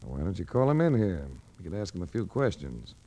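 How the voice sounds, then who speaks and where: Low, wry hardboiled radio detective. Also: male voice, big pitch swings, fairly quick, mellow. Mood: wry, probing. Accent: American. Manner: wry, clipped hardboiled delivery. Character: wry, tough male private investigator. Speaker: lead actor and narrator. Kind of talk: 1940s radio detective acting. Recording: vintage 1940s radio recording with music.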